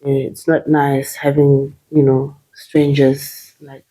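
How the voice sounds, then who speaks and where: A woman's voice: a bass voice, monotone, takes it slowly, mellow, low-quality recording. A woman in her thirties from Zimbabwe whose first language is Shona, speaking as an interview guest with many fillers and restarts.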